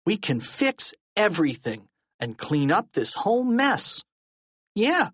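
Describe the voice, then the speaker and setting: Man voicing an excitable cheeky schoolboy, pitched up. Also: slow, breathy edge, no room sound, full character voice. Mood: eager, cheeky. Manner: eager, cheeky. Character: excitable, cheeky young schoolboys plotting mischief.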